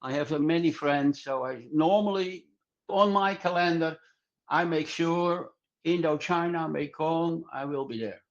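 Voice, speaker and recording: Older male podcast guest, higher-set voice, halting and repetitive delivery, muffled low-fidelity sound. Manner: halting, repetitive non-native English. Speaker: podcast guest, club singer. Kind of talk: casual interview answers.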